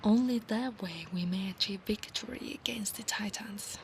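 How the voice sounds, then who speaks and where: A woman's voice: mid-range voice, moderate pace, natural voice. Woman playing a proud Italian-accented centaur knight, noisy ambience. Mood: conversational. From Italy. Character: proud, obsessive, battle-hungry female centaur knight. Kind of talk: ASMR roleplay spoken to the listener. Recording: clear background noise, ambience.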